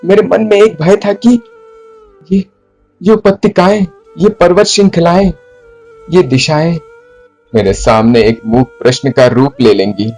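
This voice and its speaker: A man performing a theatrical monologue as a broken, remorseful poet confessing to his beloved in formal, literary language, deliberately and with dramatic pauses. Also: on the high side, a little mellow. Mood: anguished, fearful. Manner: brooding, deliberate, with dramatic pauses and repeated phrases. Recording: flute music under the voice. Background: flute music.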